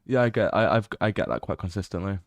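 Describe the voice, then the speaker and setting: A young British man livestreaming as he explores a game. He chats casually, swears freely and bursts into excited exclamations. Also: sits low, fast talker, no room sound.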